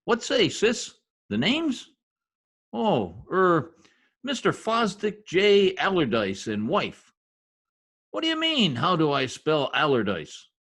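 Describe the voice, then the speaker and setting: A man's voice: fairly high pitch, wildly swooping pitch, slow pace, fairly bright tone, slightly rough, heavily acted. A man playing a blustery, slangy middle-aged husband in a recreated 1950s radio comedy. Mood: panicked. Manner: folksy slang, dropped grammar, exclaiming.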